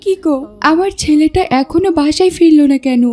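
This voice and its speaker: Woman voicing a high, soft-toned worried mother. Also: moderate pace, faint music behind, close to an everyday voice. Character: worried, caring wife and mother. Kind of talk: animated cartoon dialogue.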